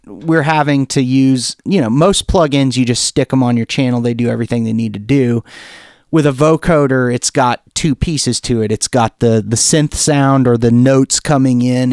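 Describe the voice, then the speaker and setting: Male tutorial presenter, informal and keen, mid-pitched clear voice, unscripted, very little room sound. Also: no room sound, studio quality. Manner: informal, enthusiastic, thinking aloud. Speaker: music production tutorial presenter. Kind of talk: casual software demo, talking off the cuff.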